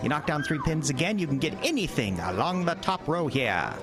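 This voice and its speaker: A man's voice: a little high, sing-song, brisk. An actor playing an old male carnival game barker with sing-song patter in a horror radio drama. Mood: barking. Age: older. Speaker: booth attendant. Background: music score.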